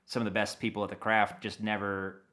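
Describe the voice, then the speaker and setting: Bright-voiced American man, chatting casually. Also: fairly low pitch, level tone, a little fast. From United States. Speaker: interview guest, audio dramatist. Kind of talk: casual conversational interview.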